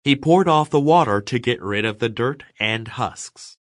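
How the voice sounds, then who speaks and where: A man reading step-by-step sentences for an English lesson, deliberate and clearly enunciated. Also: mid-range voice, expressive, no echo, studio quality. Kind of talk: scripted read-along narration.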